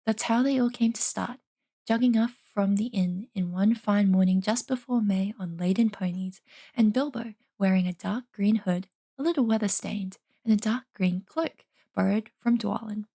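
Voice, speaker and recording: A woman's voice: medium pitch, normal speed, creaky, mellow, slightly rough. A woman reading a storybook aloud as an informal narrator. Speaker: audiobook reader, streamer.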